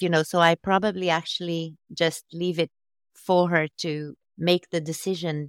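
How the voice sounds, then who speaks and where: A woman hosting a podcast interview, asking slow, thoughtful questions about writing children's books. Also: deep voice, clear, resonant tone, no room sound, studio quality. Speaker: podcast host, children's author.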